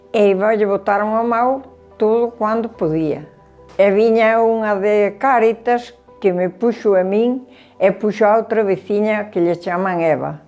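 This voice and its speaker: A woman's voice: fairly high pitch, a little mellow, lo-fi sound. A woman, earnestly describing helping a bedridden neighbour. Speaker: neighbour caregiver.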